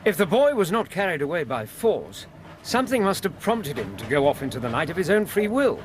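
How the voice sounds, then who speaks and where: An actor playing a sensible, attentive Victorian doctor and detective's companion, reasoning pointedly in a radio drama. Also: male voice, a little high, sing-song, a little fast. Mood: inquiring.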